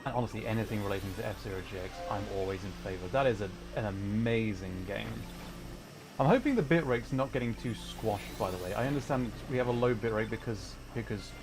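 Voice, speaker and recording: A man livestreaming a video game, chatting casually with viewers and explaining the play in an excitable way. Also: lowish voice, brisk, rough recording. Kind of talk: casual live gaming commentary, chatting with viewers. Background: video game music.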